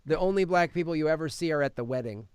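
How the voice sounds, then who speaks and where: Higher-voiced man chatting about films. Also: quick pace, very noisy. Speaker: podcast host reviewing films. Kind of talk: casual film review chat. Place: podcast studio.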